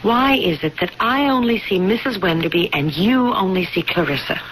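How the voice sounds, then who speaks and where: An actress playing an anxious, insistent young wife in a 1970s radio drama, earnest and intense. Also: female voice, mid-range voice, lively intonation, normal speed, natural voice. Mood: earnest, pleading. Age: young adult. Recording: slightly noisy vintage radio broadcast.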